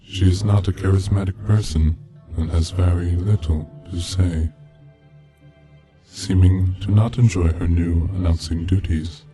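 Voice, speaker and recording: A man reading a scripted narration in a measured, deliberate manner. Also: low-pitched, steady tone, warm tone, low-quality recording. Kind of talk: scripted narration of a video game mystery. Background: background music.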